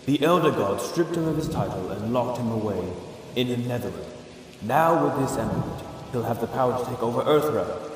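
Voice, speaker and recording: An actor playing a grave, reproachful god in an audio drama, stern and solemn. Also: male voice, mid-range voice, expressive, a little fast, natural voice. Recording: long hall reverb, distant, faint rain noise.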